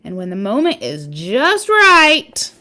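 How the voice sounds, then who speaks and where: A woman reading a children's picture book aloud, with expressive storytelling emphasis and chatty asides. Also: a little high, slow. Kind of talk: animated read-aloud storytelling with casual asides.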